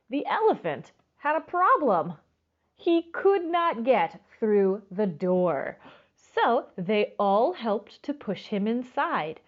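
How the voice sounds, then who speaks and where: Woman storytime reader, expressive and lively in pitch, addresses children directly, slightly dull lo-fi recording. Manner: expressive, stretches words for emphasis. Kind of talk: children's storybook read-aloud with asides to listeners.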